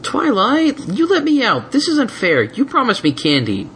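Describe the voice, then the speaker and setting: Man voicing a whiny, high-pitched baby boy dragon. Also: wildly swooping pitch, measured pace, a bit bright, slight background noise, full character voice. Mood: indignant. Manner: pleading, indignant, whining. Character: whiny excitable ten-year-old male baby dragon. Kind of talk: fan fiction audiobook character voice.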